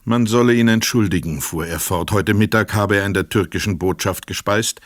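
An actor narrating a crime story in a radio play in a measured, precise manner. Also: male voice, on the low side, no echo, studio quality, lightly acted.

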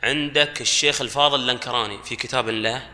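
Give me a panel guest with a tense, bright tone and a long reverb from the hall. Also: male voice, mid-range voice, steady tone, fast talker. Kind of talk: panel discussion.

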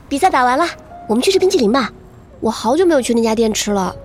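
A woman's voice: fairly high pitch, very animated, fairly quick, faint music behind. A woman playing a cheerful, sweet young woman in an audio drama, breezy and playfully teasing with a friend.

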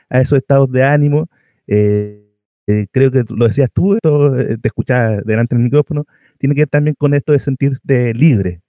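A man's voice: mid-range voice, dry recording, highs cut off. A man talking casually and reflectively, thinking aloud with some hesitation. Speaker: radio show participant.

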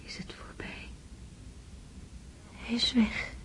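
A woman's voice: slow pace, pushed and bright. An actress playing a bewildered, grieving young woman, whispering in a radio drama. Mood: bewildered grief.

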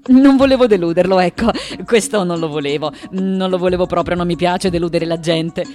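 An elderly, eccentric woman artist delivers a radio play monologue. She is intense, wry and haunted, with a dramatic delivery that turns breathy when hushed. Music plays behind her. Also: lowish voice. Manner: intense, dramatic, shifting between whispers and emphatic declamation. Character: aged female sculptor, haunted, obsessive, wry and eccentric.

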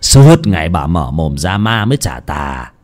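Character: defiant, brutish adult son, voiced by a male narrator. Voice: low and rough. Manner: sneering, mocking contempt. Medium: spoken horror tale. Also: sing-song, a little slow, a little mellow. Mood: scornful. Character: rude, scornful grown son, defiant and brutish.

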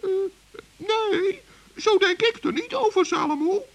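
Teeny forest gnome, really really high voice, sweet and polite, kids radio play. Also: male voice, animated, measured pace, heavily acted. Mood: mildly objecting. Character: soft-hearted, friendly little male forest gnome.

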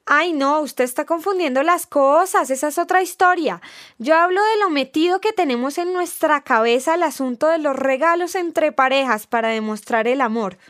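A Colombian-accented woman with a high voice, recorded close and dry. She delivers scripted radio dialogue with sardonic, scolding indignation. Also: normal speed, a bit bright, no room sound. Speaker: radio presenter.

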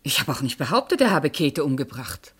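A woman's voice: very deep voice, very animated, brisk, breathy edge, exaggerated voice. An actress playing a composed, guarded, self-assured woman under questioning in a German radio play, formal, evasive and insistent.

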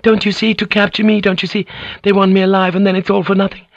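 A man's voice: on the high side, steady tone, brisk, dry recording, dull audio, voice pushed up, natural voice. A man voicing a desperate young noblewoman in an audiobook, pleading frantically in a raised, strained voice. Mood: frantic. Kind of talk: audiobook character voice.

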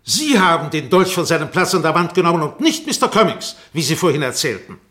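An actor playing a shrewd, relentless defence barrister in a German radio play, formal and insistent. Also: male voice, fairly high pitch, sing-song, fairly quick, fairly bright tone. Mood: accusing, pressing. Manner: formal, insistent, rhetorical repetition.

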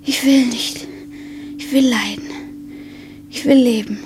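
An actress playing a self-conscious, vulnerable woman in love in a radio play, often hushed and close to whispering. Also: fairly high pitch, fairly even intonation, takes it slowly, background noise. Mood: frightened, defiant. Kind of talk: intimate radio play acting.

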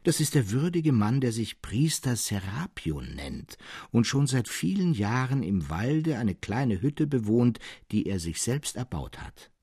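A man acts out a kindly village peasant in a literary audio story. He speaks earnestly and with simple deference, his pitch moving freely. The voice is husky and very closely, dryly recorded. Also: sing-song, unhurried, mellow, no echo, studio quality, exaggerated voice. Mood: respectful, earnest.

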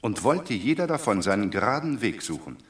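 An actor playing a shrewd Roman commoner, reasoning wryly in a classic radio drama. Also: male voice, fairly low pitch, sing-song, fairly quick.

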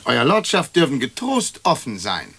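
Excitable, querulous tradesman, sharp voice. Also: medium pitch, normal speed, gravelly, breathy edge, full character voice. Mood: sly, insinuating. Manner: querulous, insistent, self-justifying. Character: indignant, argumentative male heating tradesman, self-righteous creditor. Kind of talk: German radio play acting.

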